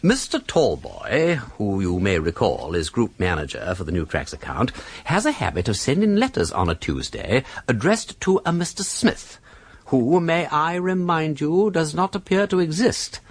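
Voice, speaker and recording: An actor playing a witty, urbane gentleman detective with an upper-class English accent, explaining things theatrically in a radio drama. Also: medium pitch, big pitch swings, normal speed, no echo. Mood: explaining, playful. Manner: theatrical, emphatic, didactic, drawling. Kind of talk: British radio drama acting.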